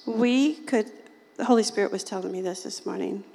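A woman with a slightly raspy voice giving an informal, chatty sermon to a small group, folksy and often laughing. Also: mid-range voice, normal speed, warm tone, big-room sound. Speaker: lay preacher.

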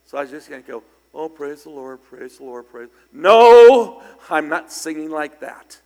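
A middle-aged man preaching a sermon in church, slightly hoarse and raspy from singing, conversational with loud bursts of emphasis. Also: a little high, very animated, a little slow. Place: church sanctuary. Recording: long hall reverb in church.

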